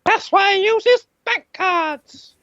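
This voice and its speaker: A woman's voice: very high-pitched, takes it slowly, a bit bright, no room sound, dull audio. A woman co-hosting a comedy podcast, teasing and chatty as she keeps score. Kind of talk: comedy quiz chat.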